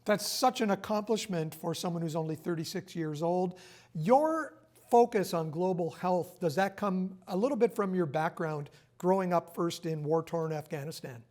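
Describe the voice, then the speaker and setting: A man's voice: sits high, normal speed, darkish tone. A middle-aged man hosting a broadcast interview in a TV studio, partly scripted. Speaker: TV interview host.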